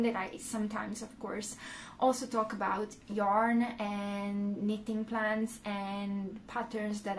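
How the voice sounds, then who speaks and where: A young woman with an Italian accent talks to the camera in a small room, slowly and unhurriedly, filling pauses with "uh". Her voice sits at a middle pitch, and a faint hiss of room noise lies under it. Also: poor audio. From Italy. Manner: unhurried, many "uh" fillers, gestures with hands. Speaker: knitting YouTuber. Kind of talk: casual talk to camera.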